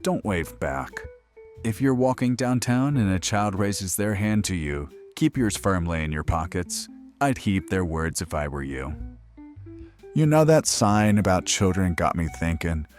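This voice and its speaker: An actor playing a wry, deadpan, sardonic host of a late-night horror radio show. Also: male voice, deep voice, a little slow, faint music behind. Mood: wry, ominous. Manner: deadpan, sarcastic.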